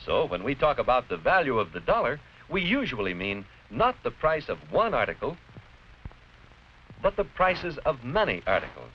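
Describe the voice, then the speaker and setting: An American man giving scripted educational narration in a deliberate, clearly explanatory, didactic manner. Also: medium pitch, sing-song. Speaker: narrator-presenter of an educational film. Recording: dull, noisy vintage educational film soundtrack.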